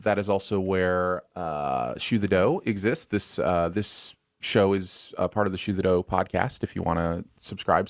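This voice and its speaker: A man's voice: fairly low pitch, a bit bright, no room sound, clean studio sound. A man co-hosting a live morning stream, talking in loose, rambling casual chat with many fillers.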